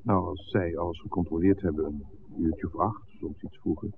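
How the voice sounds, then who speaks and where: An uneasy garage worker with a lowish voice, insistent and worried in a vintage radio drama. The recording is narrowband and slightly noisy. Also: male voice, steady tone, normal speed, creaky. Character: Tom, anxious garage worker. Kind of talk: 1960s Dutch radio drama acting.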